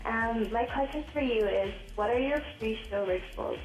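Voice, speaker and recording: A woman's voice: a little high, moderate pace. A woman fan asking a question over a video call, eager and polite. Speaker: fan caller. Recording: roomy video-call audio.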